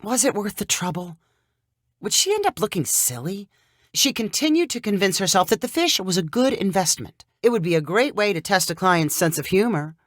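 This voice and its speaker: A woman narrating a scripted short story in an expressive, clearly paced storyteller's manner. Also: mid-range voice, normal speed, no room sound, studio quality.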